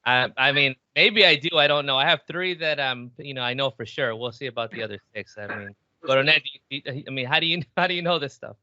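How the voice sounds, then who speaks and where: A man's voice: mid-range voice, fast talker, sharp tone, no echo, lo-fi sound. A man in his forties hosting a casual podcast, playfully teasing and mixing Spanish phrases into his English. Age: 40s. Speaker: podcast host. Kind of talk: casual bilingual podcast chat.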